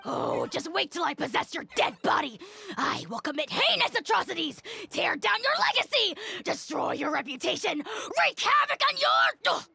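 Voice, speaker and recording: A woman's voice: very high voice, wildly swooping pitch, normal speed, gravelly, sharp tone, exaggerated voice. A woman voicing a tiny, pompous dragon lord in a video game, theatrical and easily outraged, given to grandiose declarations and threats. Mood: outraged, threatening. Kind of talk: video game character acting.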